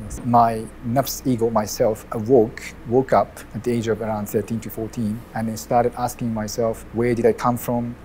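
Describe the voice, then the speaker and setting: A middle-aged man from Japan with a Japanese accent, an imam telling his life story in an interview, measured and often restarting his phrases. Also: medium pitch, warm tone, slight background noise, poor audio.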